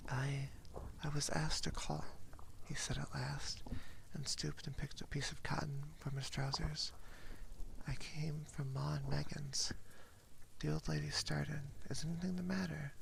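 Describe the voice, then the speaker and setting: Whispering man with heavy creak, ASMR reading. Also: on the high side, level tone, noisy background. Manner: soft, hushed, mostly whispered, unhurried. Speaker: ASMR streamer. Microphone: close binaural ASMR microphone.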